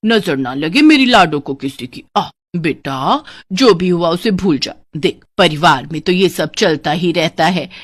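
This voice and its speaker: A woman voicing a cunning, greedy middle-aged stepmother in a children's story, with drawn-out theatrical gushing and scolding. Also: lowish voice, animated, dry recording. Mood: gushing, fawning.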